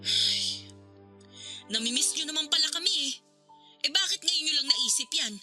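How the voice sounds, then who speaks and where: A woman's voice: sits high, lively intonation, normal speed, bright, forceful tone, heavily acted. An actress playing a hurt, resentful grown daughter reproaching her father in an emotional radio drama. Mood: bitter. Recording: dramatic music behind the voice. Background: music.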